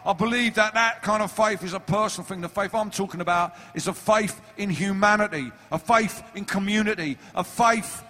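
A man's voice: high voice, moderate pace, hardly any pauses. A man performing as a singer-songwriter, earnest and declamatory in his delivery. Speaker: singer-songwriter addressing an audience. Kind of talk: earnest stage speech. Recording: music performance with loud guitar.

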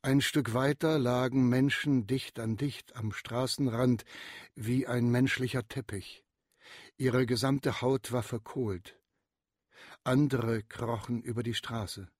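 Radio drama narrator: sombre survivor, husky, very measured pace, dry sound. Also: male voice, medium pitch, steady tone, no room sound. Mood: grave. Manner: slow, grave delivery. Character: male disaster survivor recounting horrors, grave.

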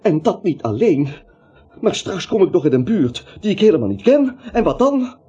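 A man's voice: sits high, very animated, moderate pace, warm tone, slightly rough, exaggerated voice. A male actor playing an anxious, timid little man in a radio play, jittery, rambling and stammering. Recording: dull, slightly noisy radio recording.

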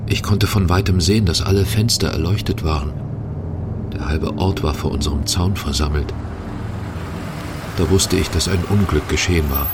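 A man's voice: low voice, moderate pace, pauses often, heavy background noise. A man delivering scripted literary narration in a radio drama.

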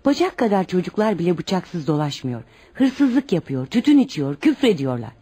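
A woman's voice: lowish voice, sing-song, moderate pace, warm tone, slightly rough. An actress in a radio play playing a poor, devout widowed mother, protective and anxious, who speaks in an earnest, instructive way. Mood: worried, resigned. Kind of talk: radio theater acting.

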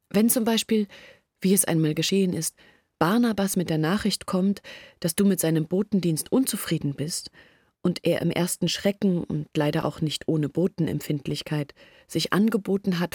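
An actress playing an anxious young village woman who earnestly pleads her family's case in a radio play, intimate and deliberate. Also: fairly low pitch, a little mellow, husky, no echo, studio quality. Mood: pleading. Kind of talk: literary radio play monologue.

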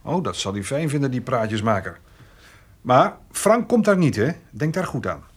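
Husky, hesitant farmer. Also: male voice, mid-range voice, big pitch swings, normal speed. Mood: businesslike, wary. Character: hesitant, plain-spoken thrifty farmer, gruffly good-natured. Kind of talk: naturalistic radio drama acting.

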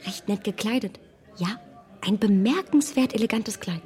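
A woman acting in a radio play as a self-assured, witty young gentlewoman, composed and dryly critical. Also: mid-range voice, lively intonation, moderate pace, lightly acted. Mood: politely reserved.

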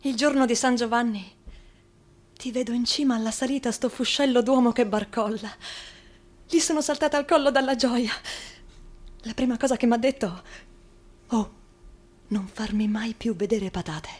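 Actress as a high-voiced, loving Roman wife. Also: female voice, moderate pace, long pauses, darkish tone, natural voice. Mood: tender joy. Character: loving wartime wife welcoming back her emaciated husband. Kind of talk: scripted dramatic monologue.

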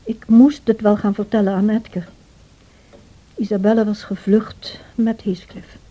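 A woman acts out a devoted housekeeper narrating in a radio play. Her voice is very soft-edged and dark, with a measured, confiding manner. The recording is dull and dated. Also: mid-range voice, level tone, close to an everyday voice. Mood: sombre storytelling. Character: devoted, troubled housekeeper recounting a tragic story.

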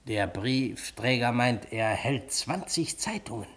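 An office clerk who bows to authority. His voice is of average male pitch, eager to please, careful and a bit gossipy. The delivery is that of classic German radio drama. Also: animated, slow pace, a bit bright. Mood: gossipy, cautious.

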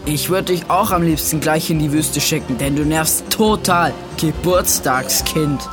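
A woman's voice: low-pitched, unhurried, over loud music. A woman playing a cocky, teasing young gamer who hosts a scripted audio show for kids. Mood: dry teasing. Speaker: show host.

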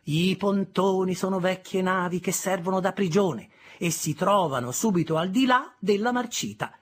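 A man voicing a harsh, sharp-tongued older sister who scolds a boy, in a shrill, exasperated way. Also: moderate pace. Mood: scolding.

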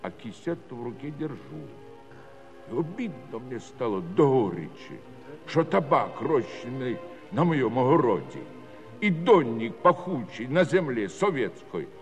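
A man's voice: medium pitch, big pitch swings, long reverb tail, faint music behind. An actor playing a proud, earnest village chairman, telling his story in a folksy in a stage musical. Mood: earnest, defiant. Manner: folksy rural storytelling with dramatic pauses. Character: Cossack village chairman recounting a bandit attack, earnest and proud. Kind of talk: theatrical dramatic monologue in a musical play. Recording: 1979 studio musical recording.

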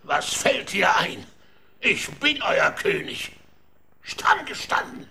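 High-pitched, creaky, blustering king. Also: big pitch swings, slow, sharp tone, slight background noise, heavily acted. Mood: indignant, gruff. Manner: indignant, commanding, exclaiming. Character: hot-headed, blustering Goth king, comically vain. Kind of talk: children's radio play acting.

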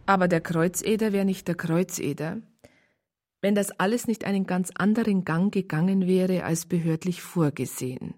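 A woman's voice: on the low side, normal speed, darkish tone, lightly acted. A woman playing a wry narrator in a radio crime drama, telling the story in a conversational, colloquial way.

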